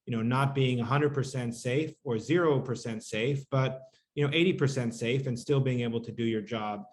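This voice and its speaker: A man speaking unscripted as a panelist, explaining in a measured way. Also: mid-range voice, darkish tone, slight background noise. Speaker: panelist on digital security.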